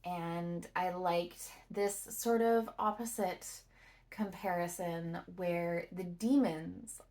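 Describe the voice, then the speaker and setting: Slow-paced woman chatting about books. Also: lowish voice, darkish tone. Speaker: book reviewer and vlogger. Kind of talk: casual, reflective book review chat to camera.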